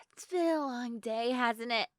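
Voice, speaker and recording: A woman playing a sleepy, affectionate girlfriend in an intimate ASMR roleplay, chatting softly. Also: on the high side, no room sound, studio quality. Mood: tired, tender.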